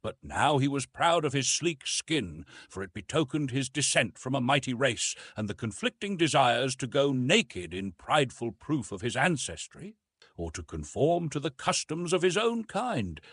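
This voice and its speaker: A man narrating an adventure novel as an audiobook, in a dramatic and expressive storytelling manner. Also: medium pitch, husky, no echo.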